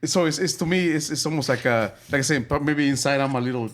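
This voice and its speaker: A man from El Salvador hosting a casual movie review podcast, talking in halting bursts full of fillers and restarts. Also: fairly high pitch. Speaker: podcast host.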